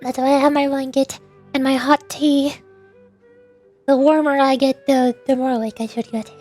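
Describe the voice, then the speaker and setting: High-voiced woman streamer, sleepy and playful. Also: level tone, normal speed, darkish tone, faint music behind. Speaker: VTuber streamer voice-acting game dialogue. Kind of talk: casual livestream chat.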